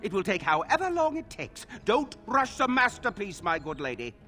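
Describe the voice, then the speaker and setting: A proud craftsman in a fantasy video game. His voice is fairly high for a man and swings in pitch as he muses in a courtly, self-absorbed way over his work, with faint music behind. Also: wildly swooping pitch, normal speed, bright, forceful tone, heavily acted. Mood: proud, defensive. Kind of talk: video game character dialogue.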